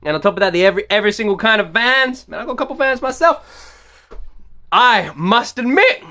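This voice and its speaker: A man hosting a music reaction vlog, talking straight to his viewers, repeating himself and breaking into loud disbelieving shouts, his voice going hoarse. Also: high-pitched, expressive, fairly quick. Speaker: music reaction video host.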